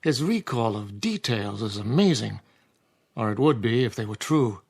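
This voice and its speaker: An actor playing a wry, folksy old country doctor in a radio drama, measured and wordy. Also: male voice, mid-range voice, lively intonation, husky. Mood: wry, intrigued. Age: older.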